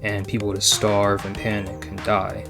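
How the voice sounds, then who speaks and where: A man with a low voice and very little rise and fall narrates a video essay. He mixes scripted commentary with offhand casual remarks. Music plays clearly behind him. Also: completely flat delivery, normal speed. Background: background music.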